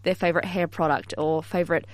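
A woman's voice: fairly low pitch, steady tone, quick pace, dry recording. A woman interviewing a guest, asking friendly, curious questions.

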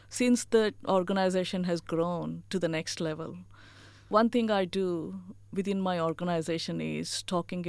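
An earnest woman from India talks with a noticeable Indian accent. Her voice is smooth and clear. It is recorded close and dry, and she often pauses on "you know". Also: lowish voice, no echo. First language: not English. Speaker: chief executive officer. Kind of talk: interview answers.

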